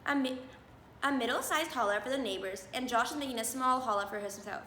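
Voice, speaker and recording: Woman as a patient mother spider, echoey room. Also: a little high. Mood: explaining. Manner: measured, instructive, gently chiding. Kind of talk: children's storybook read-aloud. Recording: roomy echo, background noise.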